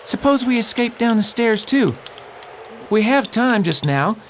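A folksy, uneasy young traveller acted by a woman in a radio play. The voice is fairly high and very lively, with plain-spoken phrasing over clear background noise. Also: a little slow. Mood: wondering.

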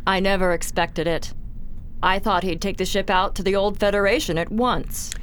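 A woman playing a composed, formal spaceship captain in a science-fiction audio drama, speaking in a measured way. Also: fairly even intonation, lightly acted, poor audio. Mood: measured, businesslike. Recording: loud background noise, vehicle-like rumble.

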